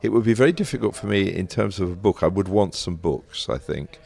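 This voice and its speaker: An older man with a British accent and a very low, gravelly voice. He talks as a guest in an interview, telling stories conversationally, often pausing to rephrase and wander into asides. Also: expressive, darkish tone. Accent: British English. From Britain. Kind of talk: conversational interview, anecdotal storytelling.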